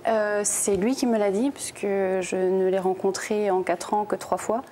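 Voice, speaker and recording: A young woman speaks as a guest in a TV studio, recounting her own story in plain words. She pauses and hesitates often, and her voice is mellow and warm. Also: medium pitch, fairly even intonation, slight background noise. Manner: plain, hesitant telling with many "euh". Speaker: talk show guest. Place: television studio.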